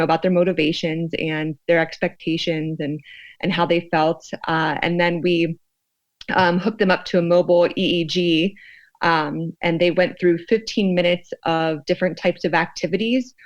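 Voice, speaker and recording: Woman, lowish voice, thoughtful interview guest, halting explanations, dull lo-fi recording. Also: steady tone. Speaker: guest, sociologist and fear researcher.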